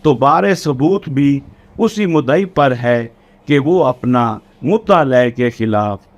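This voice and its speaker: Slow-paced man lecturing, Pakistani accent. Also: medium pitch, expressive. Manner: deliberate, repeats and rephrases key phrases. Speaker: law lecturer. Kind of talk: explanatory exam lecture, code-switching between Urdu and English legal terms.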